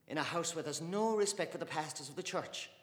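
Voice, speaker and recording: A man doing a shrill, pious old woman. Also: a little fast, close to an everyday voice. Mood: indignant. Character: fierce pious older woman, stern and indignant. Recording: echoey room with long reverb.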